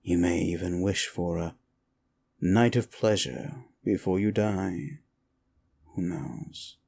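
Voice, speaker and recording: Male demon, played by a man, comfort ASMR roleplay: dark, unhurried voice, grudgingly soothing, drily menacing. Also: low-pitched, creaky, heavily acted. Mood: dry, teasing menace. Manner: slow, deliberate, dryly menacing yet soothing. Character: male demon, sinister soul-eater grudgingly soothing a human. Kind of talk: comfort ASMR roleplay, intimate address to the listener.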